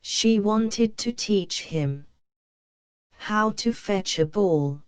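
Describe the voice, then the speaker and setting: A woman narrating a children's storybook in a slow, clear read-aloud manner. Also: medium pitch, expressive, very noisy.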